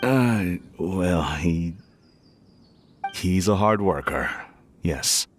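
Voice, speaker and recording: A man's voice: low voice, expressive, very slow, slight background noise, full character voice. An actor playing a humble, worried middle-aged tea merchant and father in a video game, with a hesitant, weary manner. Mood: reluctant. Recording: music behind the voice.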